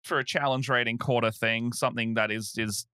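A man's voice: mid-range voice, fairly even intonation, a little fast, pushed and bright, clear, resonant tone, dry recording, clean studio sound. An Australian-accented man chatting casually as a podcast guest. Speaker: podcast guest, game master. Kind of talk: casual chat.

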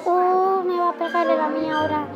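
High-pitched child acting a frightened reporter. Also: normal speed, muffled recording. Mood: panicked. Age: child, about ten. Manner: excitable, repetitive, exclaiming. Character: frightened on-the-scene cameraman fleeing giant monsters. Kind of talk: improvised children's cartoon voice-over. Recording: loud music over the voice.

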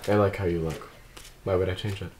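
Deep-voiced, formal android detective played by a man. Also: fairly even intonation, a little mellow, slight background noise, close to an everyday voice. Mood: calm, reserved. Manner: measured, formal, restrained. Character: calm, formal, precise male android detective. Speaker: actor reading an android detective at a table read. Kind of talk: scripted table read.

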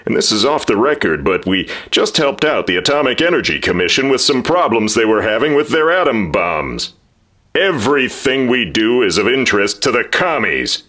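A man's voice: mid-range voice, sing-song, moderate pace, fairly bright tone. An actor playing a blustering, impatient company chief in his fifties in a 1950s-style radio drama. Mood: boastful. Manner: blustering, boastful, brusque. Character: brash, impatient corporate boss in his fifties.

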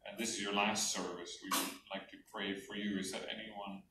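A man's voice: lowish voice, flat delivery, brisk, pushed and bright. Middle aged guy welcoming church visitors, roomy sound, kinda far off and noisy. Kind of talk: informal announcements to a congregation. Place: church hall with stage and screen. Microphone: handheld mic, recorded by far camera. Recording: echoey church hall, distant mic, noisy.

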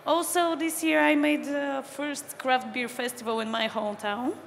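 Woman in her twenties, Bulgarian accent, high pitch, eager, echoey hall. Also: steady tone, normal speed, vocal fry. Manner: eager, searching for words. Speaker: panelist, brewer. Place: stage in a large hall. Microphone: handheld mic. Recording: hall reverb, faint noise.

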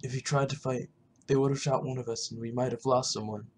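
A man's voice: medium pitch, steady tone, fast talker, slight background noise, close to an everyday voice. A man voicing a cautious, earnest young male adventurer in a game roleplay, speaking hesitantly. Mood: regretful, earnest.

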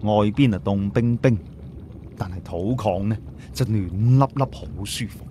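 A man's voice: lowish voice, a little slow, dark tone, a little hiss or hum. A man narrating a scripted audiobook story as a storyteller.

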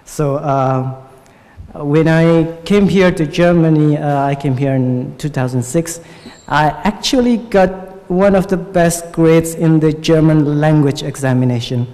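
A man in his twenties with an Indonesian accent, speaking informally and haltingly as a student panelist into a table microphone. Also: a little high, warm tone. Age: 20s. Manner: halting, searching for words. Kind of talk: informal spoken remarks at a panel discussion. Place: large lecture hall, at a panel table. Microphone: gooseneck table microphone. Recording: reverberant hall sound.

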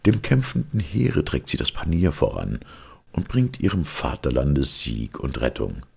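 A man narrating a literary story with solemn, theatrical weight and a gravelly, husky voice. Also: very deep voice, very animated, unhurried. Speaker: audiobook narrator.

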